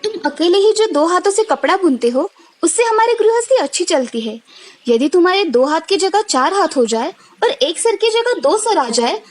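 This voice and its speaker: Proud village wife, female voice actor, animated fable: very high pitch, scheming, persuasive, soft music bed. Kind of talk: animated Hindi storybook tale.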